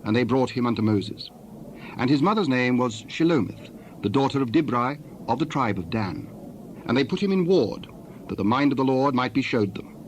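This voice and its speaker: A man narrating a dramatized Bible reading in a formal, measured storytelling style. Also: mid-range voice, animated, slight background noise. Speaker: scripture narrator.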